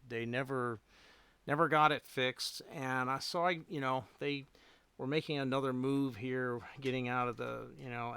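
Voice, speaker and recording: A man chatting casually and unscripted as a hobbyist livestream host, rambling a little and thinking aloud in halting phrases. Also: mid-range voice, gravelly, fairly bright tone, clean tone, no room sound. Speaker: radio repair hobbyist hosting a livestream.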